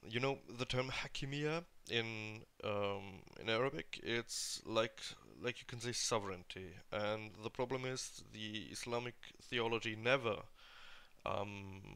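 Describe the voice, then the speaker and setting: A man gives an unscripted, argumentative commentary in a fairly low voice with a bright, forceful edge. His sound is clean and clear, though his delivery is halting, full of hesitations and restarts as he hunts for the right word. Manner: halting and searching for words, with many hesitations and restarts. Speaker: online commentator giving a video response. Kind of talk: unscripted opinion monologue.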